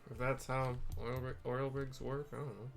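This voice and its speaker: A man's voice: medium pitch, level tone, moderate pace, creaky, faint music behind. A man hosting a game playthrough with casual, improvised scene descriptions, rambling and self-deprecating as he searches for words. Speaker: host of a game playthrough video.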